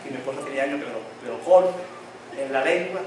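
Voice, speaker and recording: A man's voice: medium pitch, fast talker, background noise. A male pastor preaching a sermon, often asking the congregation questions for them to answer, with a slight tongue-tie that tangles his words. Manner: call-and-response questions to the congregation. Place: church hall. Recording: very echoey hall, mic at a distance.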